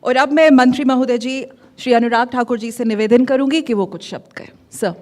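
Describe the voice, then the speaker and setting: Rapid-fire Indian woman announcer, high voice. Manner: formal, courteous. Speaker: event host, emcee. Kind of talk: formal ceremony announcing.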